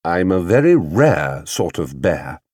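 A man voicing a small, young male bear who speaks clearly, politely and with proud earnestness, as in a children's storybook. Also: lowish voice, very animated, measured pace, darkish tone, no room sound, clean studio sound. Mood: proud, confiding.

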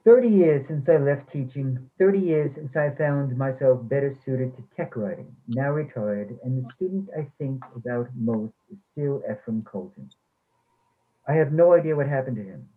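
A man's voice: mid-range voice, normal speed, close to an everyday voice. A man reading the part of a formal, defensive former English instructor in a play. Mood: wistful, regretful. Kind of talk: readers theatre play reading. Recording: thin, phone-like sound.

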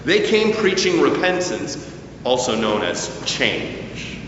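A man delivering a sermon as a preacher, with deliberate phrasing and emphatic pauses. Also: medium pitch, very animated. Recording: very echoey hall, distant, slightly dull, faint noise.

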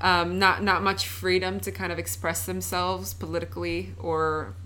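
A young woman from Palestine speaks as a podcast guest in a low-set voice. She talks in an earnest, thoughtful way, pausing on fillers as she works out her answers. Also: little pitch movement, pushed and bright, slight background noise, rough recording. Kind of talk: casual interview conversation.

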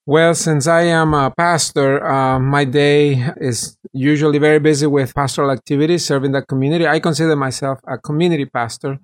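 A man's voice: fairly high pitch, steady tone, fairly quick, hardly any pauses. A middle-aged man from El Salvador, a pastor, explaining his work in detail and earnestly as a guest on an interview podcast.

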